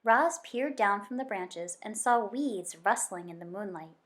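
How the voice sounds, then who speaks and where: A woman reading a children's book aloud as an expressive story narrator. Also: medium pitch, unhurried. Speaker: story reader.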